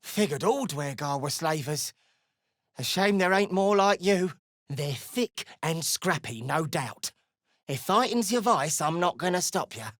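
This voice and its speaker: A defiant little gnome woman from a fantasy game. Her pitch swings widely and her pace is measured and slow. She is blunt and scornful, in a close, dry studio sound. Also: animated, no echo. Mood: wry, grudging. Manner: blunt, scornful, curses freely. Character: gruff, foul-mouthed female deep gnome, defiant and suspicious. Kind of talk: video game character dialogue.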